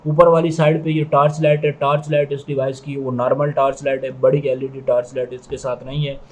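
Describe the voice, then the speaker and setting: A man's voice: mid-range voice, little pitch movement, fairly quick, a little mellow, low-quality recording. A man giving product review commentary, explaining methodically and repetitively, step by step.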